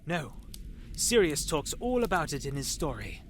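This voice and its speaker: Low-voiced, wry, animated listener. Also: female voice, moderate pace, soft background music. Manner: wry, casual interjections. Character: curious, wry listener interrupting a dark fantasy tale. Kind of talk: visual novel character acting.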